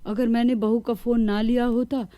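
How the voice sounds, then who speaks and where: A woman's voice: on the high side, level tone, moderate pace, dark tone, no room sound, natural voice. A woman voicing a bossy, stern older mother-in-law in a moral-story cartoon. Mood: worried.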